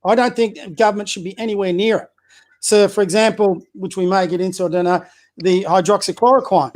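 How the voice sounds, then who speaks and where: A man with a high-pitched voice, a community basketball coach giving blunt, opinionated views as a podcast guest. He fires off rhetorical questions and often stumbles and restarts. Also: fairly even intonation, fairly quick, a little mellow. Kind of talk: casual political chat.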